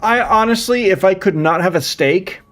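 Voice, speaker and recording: A man's voice: high voice, normal speed, a bit bright. A man in his thirties chatting casually as a live streamer, rambling and often repeating his phrases. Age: 30s. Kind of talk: casual chat with viewers while gaming.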